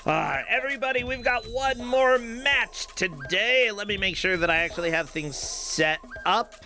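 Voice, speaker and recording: A man's voice: sits high, expressive, normal speed, bright, forceful tone, slight background noise. A man hosting a game tournament stream, chatting casually with a player and laughing often.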